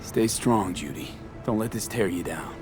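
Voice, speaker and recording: A man acting a steady, streetwise mercenary and supportive friend, terse and understated, in a naturalistic video game performance. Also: deep voice, normal speed, over music. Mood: gentle, steady.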